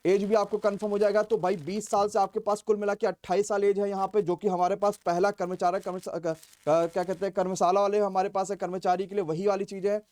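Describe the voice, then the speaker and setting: A man's voice: high voice, level tone, fast, a little mellow. A man explaining informally as a coaching teacher, repeating key points for emphasis. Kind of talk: informal exam vacancy briefing.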